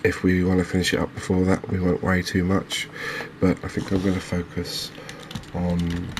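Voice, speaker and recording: Soft-spoken guy doing asmr game commentary, really low voice, pretty flat, noticeable background noise. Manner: soft-spoken, unhurried, relaxed. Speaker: gaming video creator. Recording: clear background noise, ambience.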